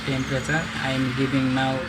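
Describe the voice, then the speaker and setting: Male, Nepali native, slow flat tutorial delivery in halting English, noisy recording. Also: mid-range voice. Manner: halting non-native English, many pauses and restarts. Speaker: phone repair demonstrator. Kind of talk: hands-on repair tutorial. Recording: loud background noise.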